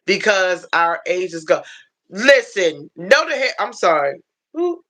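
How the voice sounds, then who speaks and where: Bright-voiced American woman, chatting live. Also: lowish voice, slow pace. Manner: animated storytelling, repeats phrases, acts out quotes. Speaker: livestream host. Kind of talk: casual livestream chat.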